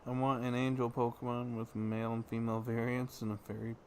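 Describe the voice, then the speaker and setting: A man's voice: medium pitch, flat delivery, fairly quick, a little mellow, a little hiss or hum. A man livestreaming a game, musing aloud in casual, offhand commentary.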